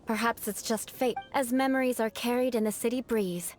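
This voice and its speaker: A woman's voice: a little high, fairly even intonation, normal speed, a little mellow, a little hiss or hum, close to an everyday voice. A woman playing a proud young noblewoman knight with grandiose, formal aristocratic speech in an anime video game. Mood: resigned.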